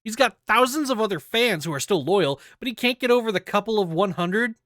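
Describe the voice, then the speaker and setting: A man reading a written document aloud in a deliberate, scripted narration style. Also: sits high, lively intonation, a bit bright, no echo, studio quality. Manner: deliberate, spells out quote marks aloud. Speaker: reader of an investigation document.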